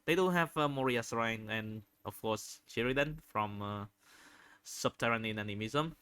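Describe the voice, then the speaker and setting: A man giving unscripted video commentary, halting often as he searches for words and repeats phrases. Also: mid-range voice, pushed and bright, clean tone, no room sound. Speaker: video host reviewing game screenshots.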